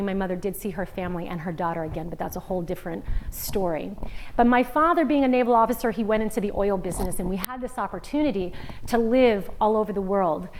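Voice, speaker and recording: A woman's voice: mid-range voice, a little hiss or hum, rough recording. Earnest woman telling her story. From Singapore. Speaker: guest speaker, founder of a women's education forum. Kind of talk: prepared talk to a student audience. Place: university lecture room.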